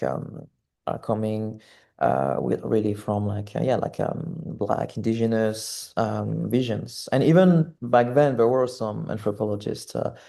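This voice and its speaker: A man's voice: lowish voice, vocal fry, dark tone. A man in his twenties from French Guiana, an artist talking reflectively as an interview guest, hesitant and searching for words.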